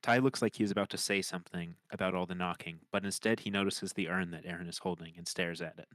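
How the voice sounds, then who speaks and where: A man's voice: deep voice, steady tone, fast talker, fairly bright tone, no echo. A man reading screenplay scene descriptions as scripted narration. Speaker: narrator reading stage directions.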